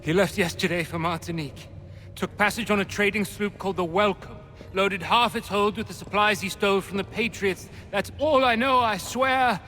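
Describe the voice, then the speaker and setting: An actor playing a treacherous, cowardly colonial villain in a video game, theatrical in delivery. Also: male voice, high-pitched, moderate pace, bright, forceful tone, faint music behind. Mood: fearful, pleading. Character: sneering, high-strung male colonial villain, treacherous and cowardly. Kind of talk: video game cutscene acting.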